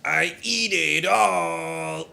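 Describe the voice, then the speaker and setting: A man voicing a slow, dithering puppet glutton in a fan-dubbed street interview, hesitant and exaggerated. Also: fairly high pitch, vocal fry, pushed and bright, slight background noise, heavily acted. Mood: dithering, gluttonous. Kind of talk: puppet show vox pop, fan dub.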